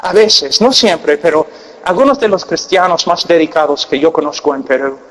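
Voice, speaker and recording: Earnest preacher in a booming hall. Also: male voice. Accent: American, with Peruvian Spanish learned late. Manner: earnest, emphatic, long pauses, drops to quiet intensity. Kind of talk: sermon. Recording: long hall reverb.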